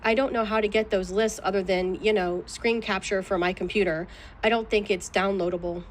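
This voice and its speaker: A woman's voice: medium pitch, steady tone, a little fast, slight background noise. A woman answering questions in a legal deposition in a guarded, clipped manner. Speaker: plaintiff being deposed.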